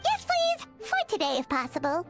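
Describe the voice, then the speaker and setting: A woman voicing a small, chirpy, excitable childlike fairy companion in an anime video game, full of exclamations. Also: very high-pitched, moderate pace, exaggerated voice. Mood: excited. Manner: speaks of self in third person, exclaiming. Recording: loud game music behind.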